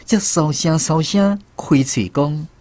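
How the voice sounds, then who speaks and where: A man with a Taiwanese accent narrating a scripted radio drama in an expressive, dramatic way. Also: fairly high pitch, slow, no room sound.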